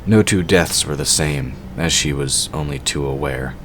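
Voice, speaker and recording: A man's voice: very deep voice, normal speed. A man reading scripted story narration as the narrator of an audio drama. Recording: clearly noisy background ambience.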